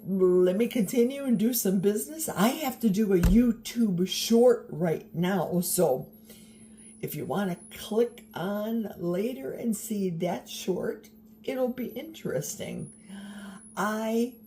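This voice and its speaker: Slow, mellow-voiced older woman vlogging. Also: mid-range voice, slight background noise. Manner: encouraging, chatty, wry. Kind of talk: casual vlog chat while cooking. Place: home kitchen. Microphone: handheld camera.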